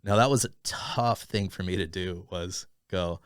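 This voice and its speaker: A man's voice: low voice, measured pace, clean tone, dry recording, clean studio sound. A man from Thailand, raised in Arizona, telling stories as a podcast guest in a casual, rambling way with many fillers and restarts. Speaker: podcast guest, business owner. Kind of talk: casual conversational storytelling.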